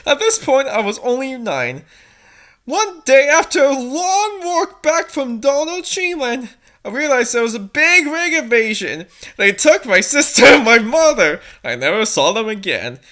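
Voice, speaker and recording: An edgy teenage cartoon cat princess acted out by a woman reading fanfiction, self-important and overdramatic. The voice is high and swings up and down with mock-serious drama. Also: very animated, measured pace, fairly bright tone, exaggerated voice. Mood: self-important, dramatic. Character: melodramatic, edgy fourteen-year-old cartoon cat princess and dictator-to-be.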